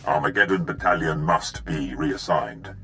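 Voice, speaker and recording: An actor playing a cold, commanding Sith in a video game, speaking in a solemn, formal, imperious way. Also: male voice, very deep voice, animated, measured pace, creaky, bright, forceful tone, soft background music, full character voice. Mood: grave, commanding. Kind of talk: video game dramatic acting.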